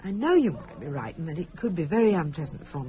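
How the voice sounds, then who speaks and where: An actress with a British accent playing an anxious but stubborn wife in a radio drama, earnest and pressing. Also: female voice, low-pitched, big pitch swings, fast, warm tone. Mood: determined. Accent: British English.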